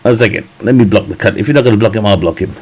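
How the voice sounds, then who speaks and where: A man's voice: fairly low pitch, rapid-fire, a little mellow, husky. A man chatting casually with his live stream viewers, swearing a lot and laughing often at his own jokes. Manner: profane, jokey banter, laughs often. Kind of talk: casual chat with viewers while gaming.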